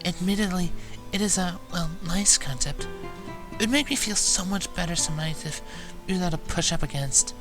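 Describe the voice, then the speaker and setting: A man's voice: high voice, normal speed, music in the background, voice pushed up, natural voice. A man voicing a timid young female pegasus pony, hesitant and shy, in a storybook reading. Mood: shy, softly confiding. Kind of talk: fan fiction storybook reading.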